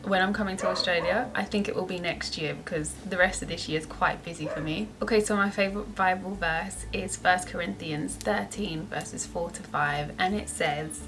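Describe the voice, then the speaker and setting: A woman's voice: on the low side, moderate pace, hardly any pauses, background noise. A young woman vlogger who answers viewer questions in a chatty, upbeat way and laughs often. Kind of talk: casual Q&A vlog.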